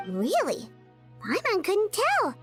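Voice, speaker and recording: Tiny squeaky childlike fairy. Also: female voice, huge pitch swings, slow pace, fairly bright tone, faint music behind, exaggerated voice. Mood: curious, chirpy. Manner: refers to self in third person, chirpy. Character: Paimon, tiny childlike fairy companion, cheerful and nosy. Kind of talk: video game character acting.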